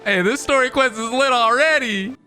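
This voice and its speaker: A man's voice: very high voice, moderate pace, sharp tone. A man giving casual, amused reaction commentary on a video game in informal interjections. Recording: game music behind the voice.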